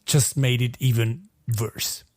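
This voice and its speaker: A man's voice: medium pitch, fairly even intonation, takes it slowly, darkish tone. A man co-hosting a podcast in casual chat, with an easygoing, somewhat hesitant way of talking. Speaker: podcast co-host.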